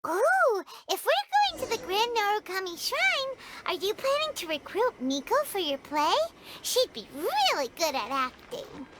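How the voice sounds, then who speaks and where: Squeaky, excitable little fairy girl. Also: a little slow, few pauses, very noisy, heavily acted. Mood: curious. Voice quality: squeaky, childish. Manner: exclamatory, inquisitive, refers to self by name. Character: small, excitable, childlike female fairy companion. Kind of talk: animated video game voice acting.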